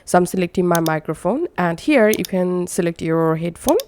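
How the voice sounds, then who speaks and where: Woman doing a how-to voiceover for some software, bit on the low side, step by step. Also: expressive, normal speed, slight background noise. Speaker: tutorial presenter. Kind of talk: step-by-step software tutorial narration. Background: screen-recording voice-over.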